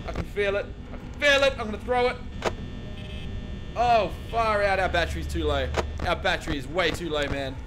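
A young man playing a horror game and recording through a headset at home, muttering to himself and breaking into panicked shouts. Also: high-pitched, noisy background. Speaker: gaming YouTuber. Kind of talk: horror game let's play. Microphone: over-ear headset.

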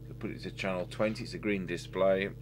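A middle-aged man giving a casual, halting repair demonstration, thinking aloud as a technician at work. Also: deep voice. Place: indoor workbench. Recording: steady hum and background noise.

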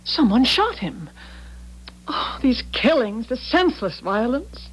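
An American actress in a 1970s radio drama playing a gentle, sympathetic, earnest wife with a husky voice. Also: female voice, on the high side, very animated, takes it slowly, slight background noise, low-quality recording. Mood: grave, saddened.

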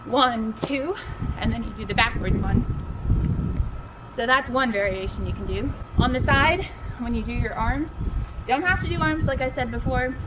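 A woman's voice: on the high side, moderate pace, heavy background noise. A woman in her thirties teaching a line dance outdoors in a casual, bubbly way, laughing often and counting steps. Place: outdoors on a concrete pad in a grassy yard. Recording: outdoor video with loud music. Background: loud music.

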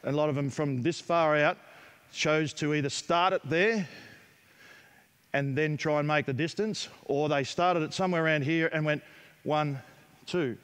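A middle-aged man with an Australian accent, coaching players in a gym with brisk, direct instructions. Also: fairly high pitch, fairly bright tone, clear, resonant tone. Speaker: basketball coach. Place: large indoor basketball gym. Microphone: headset mic.

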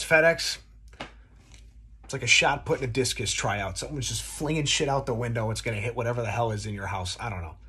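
A young man with a husky, mid-pitched voice talks casually to his phone at home. He tells his story like a comedic rant, animated and full of exaggeration, with some swearing. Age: 20s. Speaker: vlogger. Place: small dim room at home, indoors.

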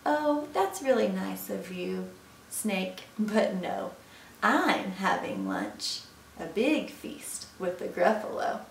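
A woman's voice: mid-range voice, sing-song, slow pace, vocal fry, roomy sound, recorded from a distance, slight background noise, full character voice. A woman voicing a small, clever, boastful mouse in a storybook, speaking with emphasis. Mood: polite, sly.

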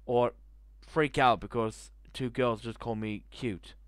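A man in his twenties reading a visual novel aloud and reacting with animated, laughing commentary, speaking into a headset mic at a desk in his bedroom. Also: medium pitch, slow, clear, resonant tone, dry recording, studio quality. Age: 20s. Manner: animated, laughing asides and sudden shouts. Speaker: gaming channel host.

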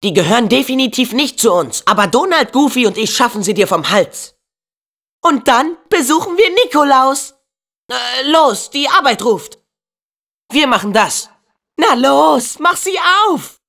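Casting: plucky, upbeat teen boy game hero, earnest delivery, very lively pitch, unhurried pace. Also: creaky, exaggerated voice. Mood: curious, eager. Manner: earnest, spirited, casual youthful phrasing. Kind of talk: video game voice acting, German dub.

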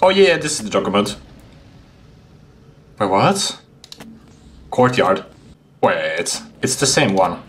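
A young man streaming a game from a home room, reacting casually and excitably. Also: on the low side, slow, long pauses, a little hiss or hum. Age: young adult. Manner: excitable, exclaiming. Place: home room indoors, at a desk. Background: game music.

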